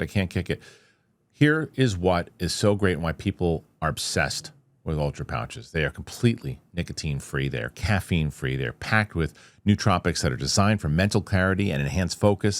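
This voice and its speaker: Low-voiced man, casual host talking to viewers. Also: no echo. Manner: conversational, emphatic, thinking aloud with rhetorical questions. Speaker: host of a UFO news show. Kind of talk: casual opinion commentary to viewers.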